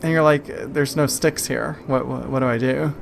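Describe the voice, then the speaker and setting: A woman's voice: rumbling low, fairly even intonation, moderate pace, gravelly, a little hiss or hum. A woman working as game master, improvising narration in a casual, hesitant, conversational way. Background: faint music.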